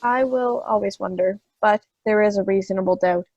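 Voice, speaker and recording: A woman's voice: medium pitch, normal speed, a little mellow, dry recording, dull audio, lightly acted. A woman playing a hesitant, weary juror in an amateur audio drama.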